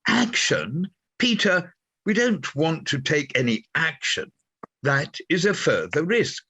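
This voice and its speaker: Man acting a pompous, sharp-voiced station manager. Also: on the high side, sing-song, slow pace, breathy edge, full character voice. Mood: pompous, emphatic. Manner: pompous, emphatic, corporate jargon. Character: self-important, risk-averse bureaucratic male station manager. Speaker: actor in a radio play. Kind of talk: radio comedy-drama dialogue.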